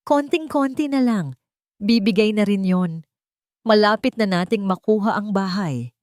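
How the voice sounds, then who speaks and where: Lady narrator doing a nasty scheming daughter-in-law, sharp n emphatic, story drama, pitched up, dry sound. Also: measured pace, no room sound, natural voice. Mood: smug scheming. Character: scheming, angry daughter-in-law, greedy and contemptuous.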